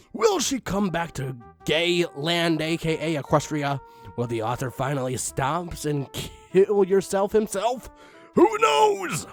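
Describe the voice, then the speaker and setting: A man giving a comedic dramatic reading of fan fiction, theatrical, with exasperated asides. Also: on the high side. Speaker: fan fiction reader, channel host.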